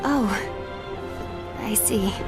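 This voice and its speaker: An actress playing a composed, dutiful apprentice in an animated game film, earnest and careful in her speech. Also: medium pitch, very slow, over loud music. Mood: flustered. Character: composed, dutiful female apprentice acting as observer.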